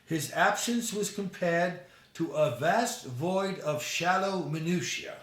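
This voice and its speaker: A man's voice: fairly high pitch, lively intonation, slow pace, a bit bright, roomy sound. A man reading his own short story aloud as scripted narration.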